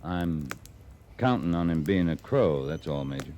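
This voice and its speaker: An actor playing a terse, dry-humored cavalry captain in a 1950s radio western, with a gruff, blunt manner. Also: male voice, a bass voice, animated, measured pace. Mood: firm, measured. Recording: old radio recording with background noise.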